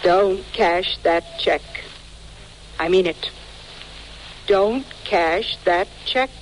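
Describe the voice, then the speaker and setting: An actress playing a cold, threatening wife in 1940s radio drama style. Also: female voice, lowish voice. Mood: icy, threatening. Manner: icy, deliberate, menacing. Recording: faintly noisy old radio recording.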